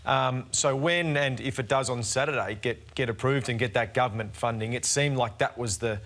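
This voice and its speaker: A man's voice: mid-range voice, moderate pace, fairly bright tone. An Australian man talking casually as a sports panellist, informal and a little hesitant as he works through his thoughts. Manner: hesitant, informal, self-deprecating. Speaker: panellist, former player.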